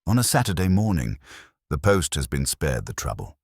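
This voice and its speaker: A man's voice: rumbling low, very animated, moderate pace, a little mellow, breathy edge, dry recording, exaggerated voice. A man voicing a courteous, dry police inspector who speaks formally and precisely in a mystery story. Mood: musing.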